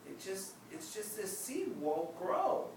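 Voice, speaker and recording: A man's voice: huge pitch swings, slow, exaggerated voice. A man reading a storybook, voicing an earnest, modest young boy with a raised, pleading tone. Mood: defensive. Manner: higher-pitched, pleading. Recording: echoey room, distant mic, background noise.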